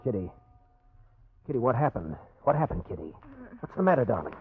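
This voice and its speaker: An actor playing a devoted, reassuring doctor and husband, coaxing and soothing, in a 1940s-style radio drama. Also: male voice, mid-range voice, big pitch swings, fairly quick, close to an everyday voice. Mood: concerned, tender. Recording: muffled, faintly noisy old radio recording.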